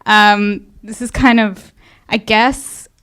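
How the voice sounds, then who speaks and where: Smooth-voiced young woman giving a casual talk. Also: mid-range voice, fairly even intonation, takes it slowly, fairly bright tone. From India. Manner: hesitant, many fillers, light laughs. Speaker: guest speaker, photographer. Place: event space.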